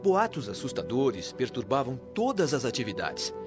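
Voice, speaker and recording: A male actor voices a wise Brazilian spirit mentor in a radio play. He teaches patiently and formally, with a very mobile, mid-pitched voice. The sound is a little dull, with music playing underneath. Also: wildly swooping pitch, normal speed. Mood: instructive. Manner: didactic, earnest, formal. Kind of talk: radio drama acting.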